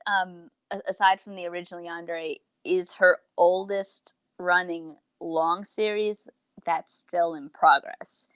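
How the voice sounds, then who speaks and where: A woman's voice: fairly low pitch, a bit bright, dry recording, highs cut off. A woman co-hosting a review podcast, chatting casually and effusively, rambling off into tangents. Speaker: podcast co-host reviewing audio dramas. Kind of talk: casual unscripted review chat.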